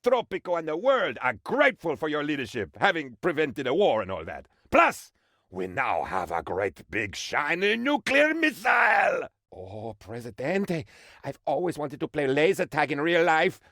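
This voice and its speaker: A man voicing an excitable, pompous and dim-witted sycophantic aide to a dictator in a video game, with theatrical, naively enthusiastic delivery. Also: fairly high pitch, normal speed, no room sound, clean studio sound, full character voice. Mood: eager, pompous, then giddy, excited. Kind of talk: video game character voice lines.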